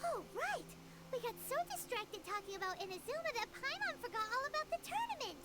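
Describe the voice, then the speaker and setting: A tiny childlike floating companion from a video game. The voice is extremely high, shrill and swooping, full of exclamations. Loud game ambience sits behind it. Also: female voice, very animated, fairly quick, heavy background noise. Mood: excited. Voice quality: shrill, squeaky. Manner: exclamatory, refers to self in third person. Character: excitable childlike little floating companion, female.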